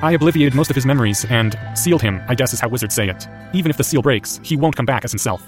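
A man voicing an earnest, halting young boy wizard. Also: medium pitch, animated, soft background music, pitched above the performer's own voice, lightly acted.

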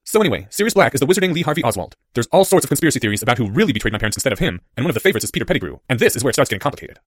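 A man voicing a bright, excitable, nerdy schoolboy who explains things eagerly and wordily in an audiobook story. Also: medium pitch, rapid-fire, no room sound, studio quality. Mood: earnest explaining. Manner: animated, eager, wordy explaining.

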